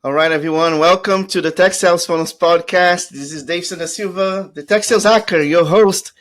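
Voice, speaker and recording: A man with a Portuguese accent hosts an interview in a chatty, enthusiastic way. His voice sits a little high for a man. He talks loosely and fills pauses with small fillers. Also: fairly even intonation, fairly bright tone. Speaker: podcast host. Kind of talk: casual interview chat.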